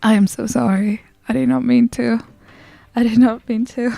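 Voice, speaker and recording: A woman livestreamer chatting casually in a soft, sleepy ASMR style that often slips toward whispering, close to the microphone. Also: a little high, fairly even intonation, moderate pace, gravelly, dry recording. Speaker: livestreamer greeting chat and hosting a game stream.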